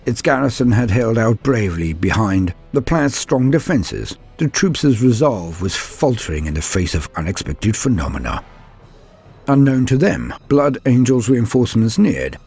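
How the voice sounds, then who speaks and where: A man's voice: on the low side, creaky, darkish tone, slightly rough. A man giving a grave, theatrical reading of science fiction war lore as a scripted dramatic narration.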